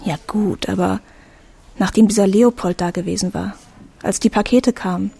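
Teenage daughter, skeptical, inquisitive, gravelly husky voice, radio drama. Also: female voice, medium pitch, steady tone, normal speed, mellow. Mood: curious, probing.

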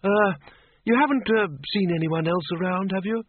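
A man's voice: sits high, moderate pace, pitched above the performer's own voice. A man voicing a polite, wry and uneasy elderly undead man for an audiobook, speaking mildly and with hesitation. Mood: hesitant, uneasy. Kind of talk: audiobook character voice. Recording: narrow phone-like radio sound.

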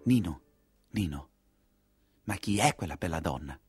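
Un attore che interpreta un giovane nobile innamorato, ingenuo e insistente, con tono supplichevole da teatro. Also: male voice, sits low, expressive, unhurried, a little mellow, slightly rough, dry recording, soft background music, pitched below the performer's own voice, exaggerated voice. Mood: smitten, curious. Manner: pleading, insistent. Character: young nobleman Enrico, impulsive, smitten, naively insistent. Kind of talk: theatrical dialogue within a monologue.

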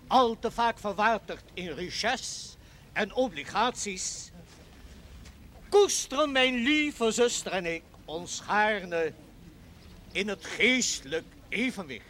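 An actor playing a pompous, old-fashioned aristocratic baron who declaims a formal, grandiloquent speech in a television period drama. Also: male voice, high-pitched, fairly bright tone, a little hiss or hum, heavily acted.

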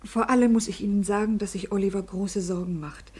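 A well-bred young lady voiced by an actress in a 1950s German radio play. Her voice is extremely breathy and mellow, with a gentle, polite and earnest manner full of compassion. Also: mid-range voice, fairly even intonation, brisk, lightly acted. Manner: polite, soft-spoken, breathy.

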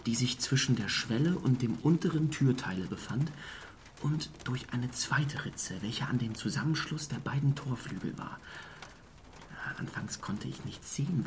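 A man playing a talkative, deferential small-town eyewitness in a radio drama, often hushed to a half-whisper. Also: mid-range voice. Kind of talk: German radio drama acting. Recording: faint background noise and ambience.

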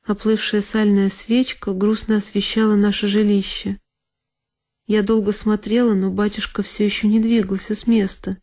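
Woman as mellow-voiced frightened girl narrator. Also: medium pitch, flat delivery, normal speed, natural voice. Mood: tense, watchful. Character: frightened young girl recalling a dreadful night. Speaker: solo performer of an audio drama. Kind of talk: dramatic first-person literary narration. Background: music behind the voice.

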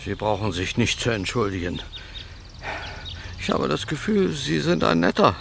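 An actor playing an addled, aggrieved composer in a radio drama, woozy from medication and rambling erratically from one question to the next. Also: male voice, mid-range voice, sing-song, normal speed. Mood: dazed, grateful. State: woozy from pills and injection. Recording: clear background noise, outdoor ambience.

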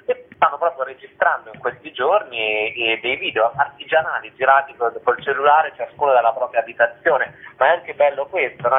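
A man's voice: medium pitch, husky. A man being interviewed by phone as a festival organiser, explaining things spontaneously and wordily with trailing asides. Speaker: theatre festival organiser, guest. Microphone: telephone. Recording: tinny narrow-band phone line.

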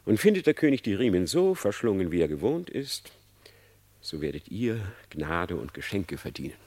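An actor playing a cunning male fox, a smooth-talking trickster, in a classic verse radio play. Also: low voice, sing-song, normal speed, darkish tone, exaggerated voice. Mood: sly. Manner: wheedling, persuasive, theatrical verse delivery.

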